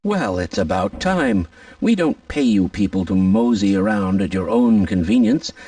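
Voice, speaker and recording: An actor playing a grumpy, condescending scientist in a video game, sour and pompous. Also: male voice, lowish voice, lively intonation, unhurried, dark tone, dry recording, far from the mic. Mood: irritated, scornful.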